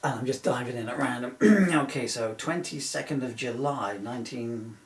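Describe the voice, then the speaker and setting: A man's voice: medium pitch, normal speed. Male reader for comic parody book, loose and rambling, easily amused, recorded off-mic in an echoey room. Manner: rambling, often breaking into giggles at own jokes. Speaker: author reading his parody book. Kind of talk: informal comic book reading with asides. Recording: roomy echo, distant microphone.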